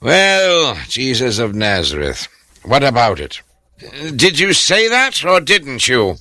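An actor playing a pompous, self-important high priest presiding over a trial in a radio drama. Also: male voice, wildly swooping pitch, takes it slowly, sharp tone, no echo, studio quality, heavily acted.

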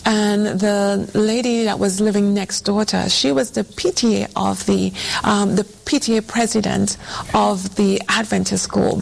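A woman's voice: medium pitch, creaky, rough recording. A woman from Trinidad, telling her personal story conversationally as an interview guest. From Trinidad and Tobago. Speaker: guest, church women's ministry coordinator.

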